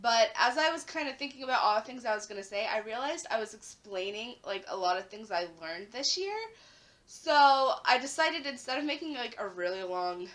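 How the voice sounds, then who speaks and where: A young woman talks casually to her camera at home, her voice raspy and hoarse after a recent flu. Her pitch sits a touch high and her tone is very bright. She strings her thoughts together with lots of "like" fillers and punchy emphasis. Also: animated, normal speed. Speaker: vlogger.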